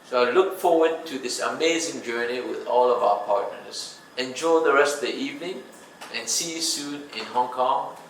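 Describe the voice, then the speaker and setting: A male executive reads out a prepared speech in a creaky, mid-pitched voice. The sound is echoey and far from the microphone, with faint noise behind it. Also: moderate pace. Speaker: bank chief executive. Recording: gravelly playback in echoey, noisy room, distant.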